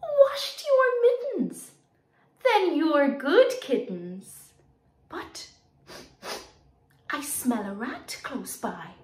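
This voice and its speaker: A stern mother cat, acted by a woman, scolding in a high, swooping storybook voice. She is slow and theatrical, and a roomy echo surrounds her. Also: sing-song, a little mellow, heavily acted. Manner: exaggerated scolding. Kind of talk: nursery rhyme reading.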